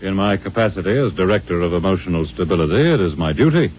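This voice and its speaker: Icy authoritarian official, low and sardonic, 1950s American radio style, muffled humming recording. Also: male voice, lively intonation, fast talker, darkish tone, a little hiss or hum. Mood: coldly menacing. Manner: haughty, clipped, formal, sardonic. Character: cold, authoritarian male director of a dystopian state.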